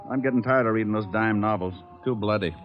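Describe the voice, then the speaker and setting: An actor playing a wisecracking, cocky private detective in a 1940s radio drama, with wry, flippant delivery on a noisy old recording. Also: male voice, lowish voice, fast talker, dull audio, loud music behind the voice, lightly acted. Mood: businesslike, teasing.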